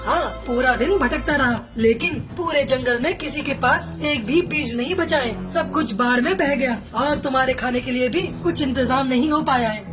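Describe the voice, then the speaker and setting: Woman voicing an earnest high-voiced husband bird. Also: normal speed, hardly any pauses, natural voice. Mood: worried, determined. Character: caring young husband bird, male, earnest, hospitable. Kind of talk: cartoon moral story acting. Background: music.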